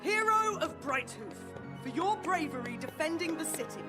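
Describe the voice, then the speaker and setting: An actress voicing a proud royal herald making ceremonial proclamations in a fantasy video game. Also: female voice, high-pitched, big pitch swings, moderate pace. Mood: solemn pride. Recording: loud music behind.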